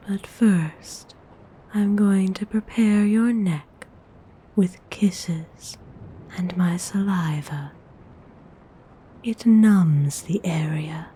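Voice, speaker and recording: A woman playing a gentle, seductive vampire noble in an intimate ASMR roleplay, breathy and whispery, courteous and soothing, close to the microphone. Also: fairly low pitch, slight background noise. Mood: reassuring, intimate. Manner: slow, soothing, tender, deliberate.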